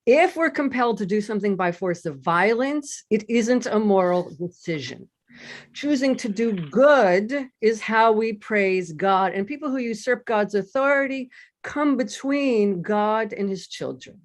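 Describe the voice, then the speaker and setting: A woman's voice: medium pitch, lively intonation, measured pace, natural voice. A woman playing an earnest, assertive seminar student who argues declaratively in a staged play reading. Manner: declarative, argumentative.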